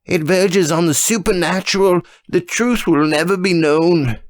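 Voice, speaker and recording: Man putting on a high-voiced, frightened society woman. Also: a little slow, dry recording. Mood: fearful, awed.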